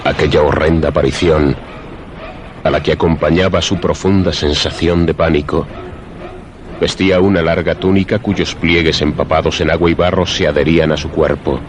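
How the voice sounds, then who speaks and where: A man acting as a grave, solemn narrator of a suspense and horror radio drama, with deliberate dramatic phrasing. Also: rumbling low, fairly even intonation, slight background noise. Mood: ominous, grave. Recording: dramatic music behind the voice.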